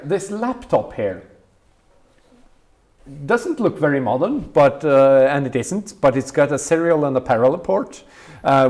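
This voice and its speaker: Halting Swedish man giving an equipment tour. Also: medium pitch, a little mellow. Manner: halting, many ums and uhs. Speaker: event organizer showing equipment. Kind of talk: casual walkthrough tour.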